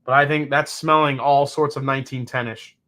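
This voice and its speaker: A man in his forties hosting a live whiskey tasting, chatting casually and reacting to viewers' comments with quick, excitable exclamations. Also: medium pitch. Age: 40s. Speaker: host of a whiskey tasting show.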